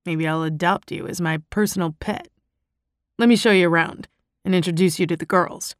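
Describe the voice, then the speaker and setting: Woman voicing a smug, low-pitched male club boss. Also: steady tone, moderate pace, no room sound, clean studio sound, natural voice. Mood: smug, leering. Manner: leering, bossy, calls women doll. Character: stocky late-thirties male club owner, smug and domineering.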